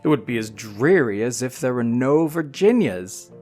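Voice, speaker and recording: A man giving a theatrical, emphatic dramatic reading of a classic letter, with drawn-out pauses. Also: medium pitch, expressive, a little mellow, soft background music. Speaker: reader in a theatre company's audio series.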